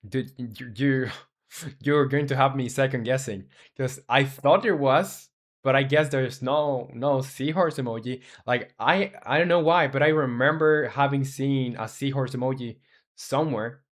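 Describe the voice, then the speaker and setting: A man's voice: medium pitch, measured pace. A man in his twenties chatting casually on a live stream as a game developer, rambling and thinking aloud with many fillers while answering chat questions. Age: 20s.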